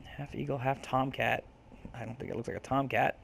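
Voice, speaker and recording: A man's voice: medium pitch, steady tone, brisk, gravelly, a bit bright, husky. A man hosting a let's play, musing aloud informally and reading game text out. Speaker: gaming video host.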